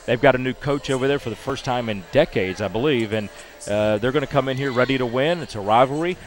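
A man's voice: medium pitch, fast. Chatty older male sportscaster. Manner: chatty, stat-heavy, upbeat delivery. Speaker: sports broadcaster. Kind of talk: postgame interview. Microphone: headset mic.

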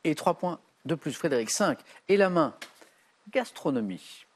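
A middle-aged man hosting a TV quiz show, reading clues briskly and giving quick verdicts. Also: a little high, very animated. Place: large TV studio set.

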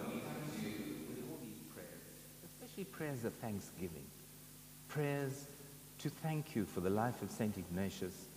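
Elderly priest in an echoing chapel. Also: male voice, lowish voice, a little mellow. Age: 70s. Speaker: priest celebrating Mass. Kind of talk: liturgical prayer. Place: large brick chapel, at altar and lectern. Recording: echoey chapel with long reverb, background noise.

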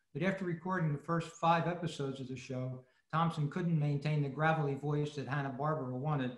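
A man reads a prepared talk as presenter of a club meeting. His fairly high voice comes over a roomy video call. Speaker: presenter at an old-time radio club. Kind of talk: scripted presentation.

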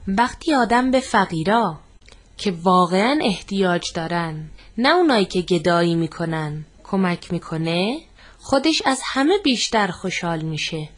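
A woman voicing a gentle, loving mother who speaks softly and patiently. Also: lowish voice, lively intonation. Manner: soft, tender, patient.

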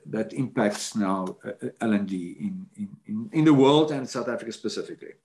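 A man moderating a panel discussion over a video call, halting and repeating words as he frames a question. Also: on the low side, darkish tone. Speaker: moderator of a book launch panel.

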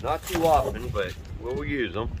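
A man's voice: mid-range voice, lively intonation, measured pace, over music. A middle-aged man with a Western Pennsylvania accent, chatting folksily to viewers as he sorts through a storage unit. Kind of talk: casual unboxing commentary. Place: cluttered storage unit, indoors.

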